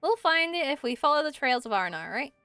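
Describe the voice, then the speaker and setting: A young adventuring heroine from a video game, played by a woman, calm and reassuring. She talks quickly in a high voice, recorded dry over faint music. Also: dry recording, full character voice. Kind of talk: amateur video game character voicing.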